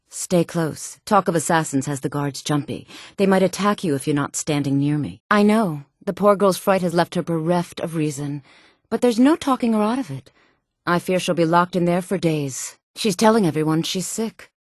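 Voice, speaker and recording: An actress voicing a sultry, sly fantasy game woman with a smooth, deliberate, coaxing and quietly menacing delivery. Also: fairly low pitch, no room sound, studio quality. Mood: concerned, confiding. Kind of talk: video game character dialogue.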